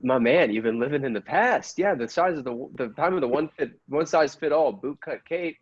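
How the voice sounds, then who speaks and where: A man acts out a male shop salesman in improvised comedy, mid-pitched and colloquial. He is chatty and faintly snobbish about style, stumbling through his pitch. The recording is dry and slightly muffled. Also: expressive, slightly rough, dry recording. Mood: teasing, critical. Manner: halting, colloquial sales patter.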